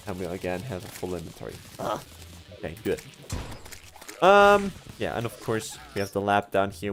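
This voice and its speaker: Young Swiss man, German native, animated casual streamer, soft music bed behind. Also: lowish voice. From Switzerland. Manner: chatty, laughs often, self-correcting asides. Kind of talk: casual gameplay livestream commentary.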